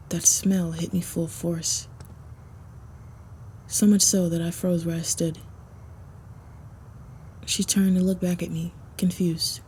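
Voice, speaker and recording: Mellow, dark-voiced woman narrating softly. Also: fairly low pitch, slight background noise. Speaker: roleplay audio voice performer. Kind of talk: first-person story narration, intimate roleplay audio.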